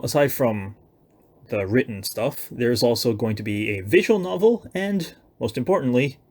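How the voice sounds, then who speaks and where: A young man giving a casual, loosely planned announcement of his own writing projects, with self-deprecating, hedging asides. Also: mid-range voice. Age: young adult.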